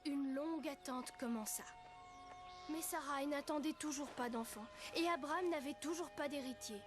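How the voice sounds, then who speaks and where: A woman narrator giving scripted storybook narration for an animated Bible story. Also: sits high, normal speed, faint music behind, close to an everyday voice.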